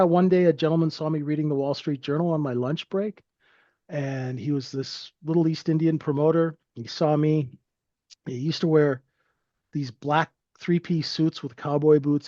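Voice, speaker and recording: A man's voice: fairly high pitch, a little mellow, dry recording, muffled recording. A man in his fifties from Canada, telling his life story in a rambling, friendly way with lots of fillers. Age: 50s. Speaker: guest trader. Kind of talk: casual interview storytelling.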